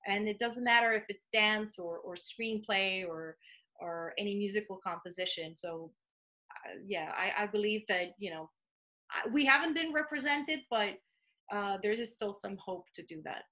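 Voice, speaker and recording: A woman in her thirties from Serbia with a Serbian accent, speaking haltingly with many fillers and restarts in a video call interview from a small home office. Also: mid-range voice, bright, forceful tone. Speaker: art commissioner. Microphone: video call webcam.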